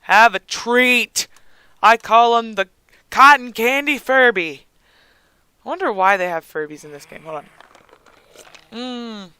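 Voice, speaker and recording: A gaming host with a hoarse, raspy, squeaky voice, chatting casually over gameplay with a lot of giggling and rambling ums. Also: measured pace, pauses often, sharp tone, dry recording. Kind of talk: casual let's-play commentary.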